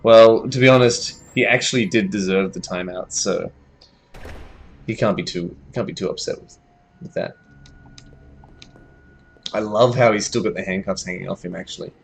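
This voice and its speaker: A young man with an Australian accent, chatting casually as he plays a game live. His voice sits very low with a gravelly rasp, and he thinks aloud and yelps when the game startles him. Faint background noise hangs behind him. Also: normal speed, pauses often. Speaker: streamer. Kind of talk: casual live gameplay commentary.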